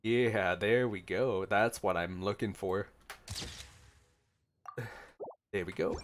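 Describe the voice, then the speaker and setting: A man hosting a gaming playthrough, reacting in casual, emotive commentary with gushing exclamations and mellow asides. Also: fairly low pitch, slow, slight background noise. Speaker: gaming video host.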